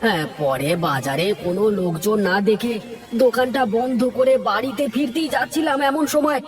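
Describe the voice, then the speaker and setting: A man's voice: very high voice, fairly quick. A man voicing a nervous, henpecked, lazy husband in a cartoon, stammering and hesitant as he talks. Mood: nervous, pleading. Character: nervous henpecked lazy husband making excuses to his wife. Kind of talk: cartoon dub acting.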